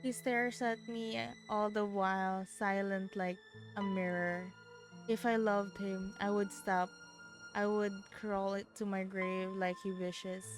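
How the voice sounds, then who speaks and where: A woman's voice: mid-range voice, level tone, slow pace. A woman with a Filipino accent, streaming a game and reading its text aloud. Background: game music.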